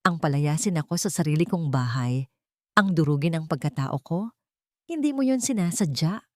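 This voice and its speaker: Woman acting a betrayed wife, icy calm n accusing, lowish voice, audiobook drama, dry sound. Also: moderate pace, dry recording. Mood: wounded, accusing. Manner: controlled, emotionless, cutting.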